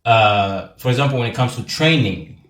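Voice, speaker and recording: A man's voice: medium pitch, lively intonation, normal speed, fairly bright tone. Man from Zanzibar interviewing, roomy sound. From Zanzibar, Tanzania. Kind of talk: casual interview.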